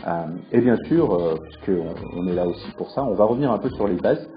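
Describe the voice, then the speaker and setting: A male podcast host introducing the episode at a measured pace, with frequent hesitations. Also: fairly low pitch, animated, highs cut off, faint music behind.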